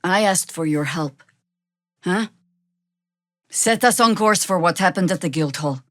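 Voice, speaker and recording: An actress playing a seasoned older female druid warrior in a fantasy video game, measured, wry and commanding. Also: fairly low pitch, lively intonation, a bit bright, dry recording, studio quality. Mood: grave, measured.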